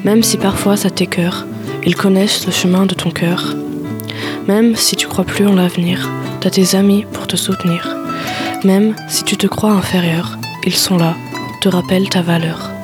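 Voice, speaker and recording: Teen girl slam poet, mid-pitched voice, rhythmic delivery, guitar clear behind. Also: fairly even intonation, moderate pace. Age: teens. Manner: rhythmic recitation. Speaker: student poet. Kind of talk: reciting slam poetry.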